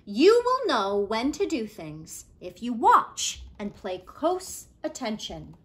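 A woman's voice: fairly high pitch, sing-song, takes it slowly. A woman voicing a playful storybook monkey who gives advice with confident emphasis. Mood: advising.

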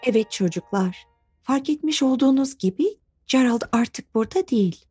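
A kind, patient grown-up woman talks to small children. Her voice sits fairly high and she explains carefully and gently. Soft music plays behind this cartoon dub. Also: animated, normal speed, husky, no echo. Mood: gentle, regretful. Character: kind, patient female preschool teacher or mother. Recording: studio dub over background music.

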